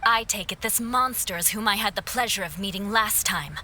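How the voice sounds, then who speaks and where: A woman's voice: mid-range voice, fairly quick, sharp tone, slight background noise, close to an everyday voice. A woman playing a poised, dryly polite fighter in a game cutscene, with formal phrasing. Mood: wry. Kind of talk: animated game cutscene voice acting.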